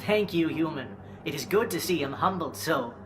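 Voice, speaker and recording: An actor playing a nervous, ingratiating alien merchant in a video game, speaking halting broken English. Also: male voice, on the high side, animated, noisy background, natural voice. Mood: relieved. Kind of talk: video game character acting.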